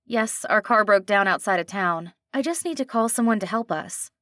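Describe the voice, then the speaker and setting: A woman's voice: mid-range voice, expressive, moderate pace, sharp tone, dry recording. An exhausted young mother, as a woman reader voices her, speaking hesitantly and wearily. Her voice sits a little above the reader's own. The recording is close and dry. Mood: weary. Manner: hesitant and weary.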